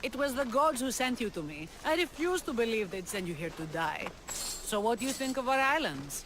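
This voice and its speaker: A high, animated rebel leader. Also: female voice, normal speed, noisy background. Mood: determined. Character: bold, passionate female rebel leader. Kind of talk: video game voice acting. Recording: background music under the voice.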